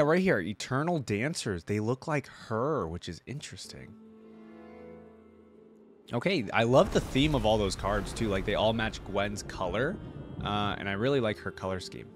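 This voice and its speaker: A man hosting a reaction video with casual, laid-back commentary, thinking aloud appreciatively as he goes. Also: on the low side.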